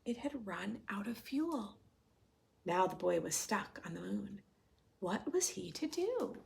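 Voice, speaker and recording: A woman reads a picture book aloud for preschoolers. Her delivery is lively and widely varied in pitch, with some creak in the voice. She moves between expressive storytelling and chatty questions to the children. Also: takes it slowly. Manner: expressive, questioning asides to young listeners. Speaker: children's story reader and host.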